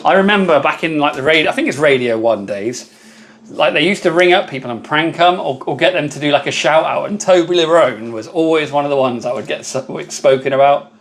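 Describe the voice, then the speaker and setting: A man with a loud voice, hosting a casual live stream. He chats with viewers and thanks them by name while he works at a laser engraver. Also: a little high, expressive, brisk.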